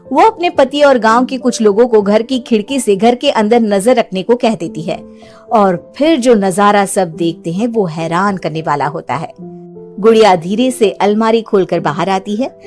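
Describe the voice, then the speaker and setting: A woman storyteller giving an expressive, scripted narration of a dramatic tale. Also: mid-range voice, moderate pace, faint music behind.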